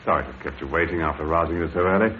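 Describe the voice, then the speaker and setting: A calm shrewd inspector. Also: male voice, extremely low pitch. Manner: courteous, measured, quietly stern. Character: calm, shrewd police inspector, polite but firm. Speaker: police inspector. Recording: tinny noisy old radio broadcast.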